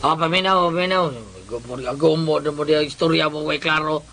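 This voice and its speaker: A man's voice: fairly high pitch, fairly even intonation, fairly quick, gravelly, sharp tone. An actor with a Cebuano Filipino accent playing a stubborn, hot-headed man with a grudge, blustering and grumbling in a comedy radio drama.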